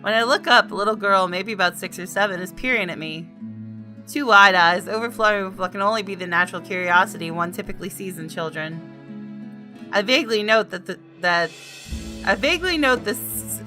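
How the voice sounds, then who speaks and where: Bright-voiced woman hosting a game playthrough. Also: mid-range voice, fairly quick, faint music behind. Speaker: gaming video host. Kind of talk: casual gameplay commentary and dramatic reading aloud.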